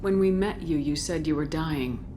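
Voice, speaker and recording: A woman's voice: sits low, normal speed, background noise, lightly acted. A woman playing a firm, businesslike military commander in a video game, direct and probing.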